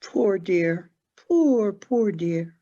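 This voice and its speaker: A woman playing an elderly, forgetful, genteel mother in a stage play, telling her story in a dramatic, halting way. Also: medium pitch, lively intonation, dark tone. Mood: pitying. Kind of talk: stage acting in an online one-act play. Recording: dull lo-fi online call audio.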